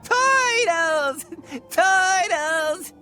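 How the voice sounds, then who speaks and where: A woman's voice: extremely high pitch, expressive, drawn-out words, sharp tone, faint music behind, full character voice. A woman voicing a chipper, polite little male merchant creature with folksy sales patter, in a video game. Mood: chipper, eager. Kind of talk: video game cutscene acting.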